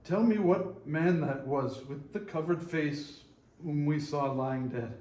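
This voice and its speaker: A man's voice: a little high, slow, a little mellow. A man playing a frightened, repentant old miser in a theatrical readers theater performance, earnest and pleading. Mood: grave, fearful. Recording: echoey room.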